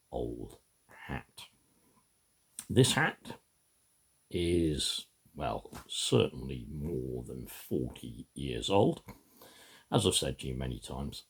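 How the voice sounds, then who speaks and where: A very low-voiced man with a husky, gravelly tone speaks slowly and personally to camera. His delivery is halting and emphatic, swinging dramatically from low mutters to raised words. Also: a little mellow. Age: middle-aged or older. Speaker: video maker giving a reading. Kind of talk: rambling personal talk to camera.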